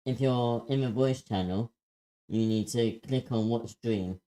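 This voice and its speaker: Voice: mid-range voice, measured pace, warm tone. A participant helping to run an online group session, talking in a casual, practical way.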